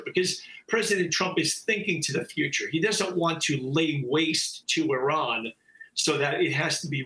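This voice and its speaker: A man, a former intelligence officer, giving measured analysis with some hesitations in a live news interview. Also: on the high side, sharp tone, echoey room, rough recording.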